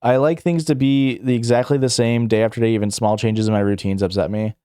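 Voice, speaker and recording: A man's voice: mid-range voice, fairly even intonation, brisk, dark tone, smooth, no echo, clean studio sound. A young adult man streaming live, reading questions aloud and answering in a rambling, casual way full of fillers and restarts. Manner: rambling, filler-heavy, self-correcting, chuckles.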